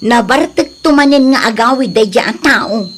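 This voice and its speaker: Lady acting a fed-up hardworking wife in a drama, high voice, bit of noise behind. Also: normal speed, natural voice. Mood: weary, apologetic. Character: long-suffering working wife of a drinking husband. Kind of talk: Ilocano audio drama dialogue.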